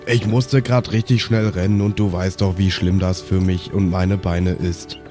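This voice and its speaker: Ein Mann spielt einen quengeligen, schnell beleidigten Zwergensohn, der sich trotzig rechtfertigt. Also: male voice, deep voice, level tone, unhurried, warm tone, faint music behind. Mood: sulky. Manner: sulky, self-justifying.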